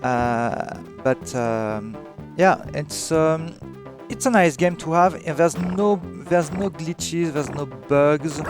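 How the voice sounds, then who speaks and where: A man's voice: on the high side, slow pace. French man commentating a speedrun. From France. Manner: hesitant, many fillers and restarts. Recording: game music playing behind.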